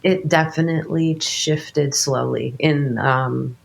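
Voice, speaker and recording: A woman's voice: sits low, fairly even intonation, slow pace, vocal fry. Worship leader, late 30s woman, has a cold; loose, rambling conversational delivery. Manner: rambling, many restarts and fillers. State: fighting a cold. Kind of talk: casual conversation.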